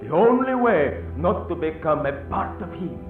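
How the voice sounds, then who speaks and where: A man's voice: a little high, big pitch swings, moderate pace, music in the background. A man playing a grave, fatalistic priest in a radio horror play, solemn and declamatory like one speaking prophecy. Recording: tinny band-limited audio, distant, echoey.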